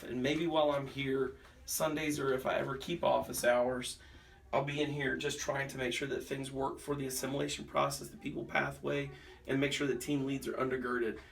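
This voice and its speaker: A man's voice: medium pitch, gravelly, distant mic. A man talking casually and colloquially as he explains his plans on a family vlog. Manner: folksy, informal, colloquial. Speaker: pastor, family vlogger. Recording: noisy handheld vlog recording.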